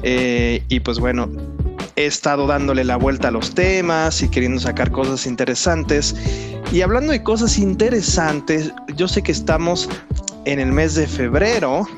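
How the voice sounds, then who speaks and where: Male podcast host, Mexican accent, slightly high pitch, loose rambling chat over audible music. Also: moderate pace. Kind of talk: casual podcast hosting. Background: background music.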